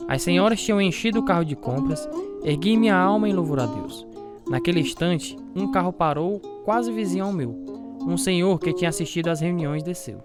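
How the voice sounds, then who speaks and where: A man's voice: high-pitched, a little fast, over loud music, close to an everyday voice. A man playing a devout, trusting preacher who tells his life story in an earnest radio drama. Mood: devout, reflective. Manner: earnest, pious. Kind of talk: dramatized radio testimony with narration and dialogue.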